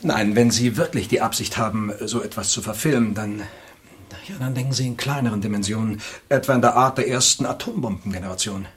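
A man's voice: on the low side, fairly quick, lightly acted. Assured, lecturing male expert played by an actor. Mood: instructive. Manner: lecturing, matter-of-fact. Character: knowledgeable male weapons expert, assured and instructive. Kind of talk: German radio play acting.

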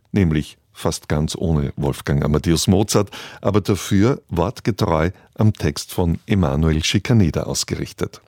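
Deep-voiced man presenting on radio. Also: darkish tone, dry recording, clean studio sound. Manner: measured, formal. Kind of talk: scripted narration.

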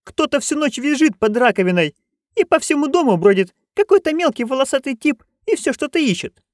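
Brief: reader putting on a noisy, crude old witch, high and loud, swoops about. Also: big pitch swings, fairly quick, dry recording, studio quality. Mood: gossipy. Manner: loud, rambling, coarse. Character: bawdy, chatty old witch woman.

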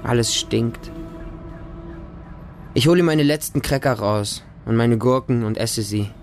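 A man's voice: mid-range voice, measured pace, soft background music, natural voice. A man playing a lonely, naive small homeless boy who narrates his life in a radio drama in short, plain sentences. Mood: lonely, wary.

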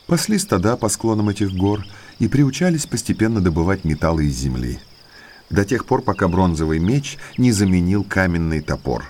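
Low, animated gentleman naturalist. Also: moderate pace, slight background noise. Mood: enthusiastic, instructive. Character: Stapleton, eager educated naturalist, outwardly affable brother. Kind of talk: audio drama acting.

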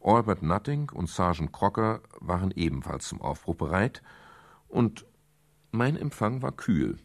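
Here is a hard-boiled male private eye in a radio crime drama. His voice is very low and gravelly, with a husky edge. He is dry, laconic and brusque, sardonic about the world around him. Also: big pitch swings, measured pace, dry recording, exaggerated voice. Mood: laconic, brooding. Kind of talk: 1970s German radio crime drama, first-person narration.

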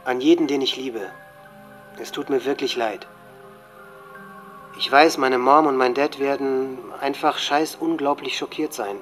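A man's voice: mid-range voice, steady tone, a little slow, long pauses, a bit bright, close to an everyday voice. Disturbed teenage boy played by a man over music. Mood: regretful farewell. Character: disturbed, hate-filled teenage male school shooter. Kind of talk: German audio drama monologue.

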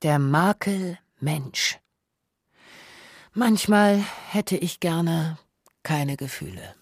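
A man playing an anguished, self-reproaching father who narrates a radio essay in deliberate, reflective phrases. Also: a little high, dry recording, heavily acted. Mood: melancholy. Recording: dry studio with some music.

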